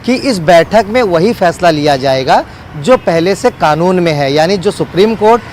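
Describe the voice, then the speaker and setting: A man's voice: high voice, expressive, moderate pace, a little mellow, a little hiss or hum. A man giving a wordy, unscripted live news report as a field reporter, often repeating set phrases.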